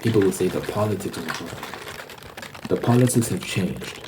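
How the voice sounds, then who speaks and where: A man's voice: low voice, normal speed, background noise. A man giving a casual, rambling ASMR monologue, informal and hesitant, often repeating his words. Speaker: host.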